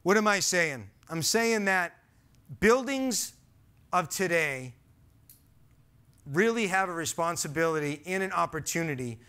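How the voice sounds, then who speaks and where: Man, Boston accent, forties, stage talk, headset mic, bright forceful tone, slightly high voice. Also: clean tone. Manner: earnest, emphatic, gestures while speaking. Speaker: conference speaker. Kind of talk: stage presentation. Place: large dark auditorium stage.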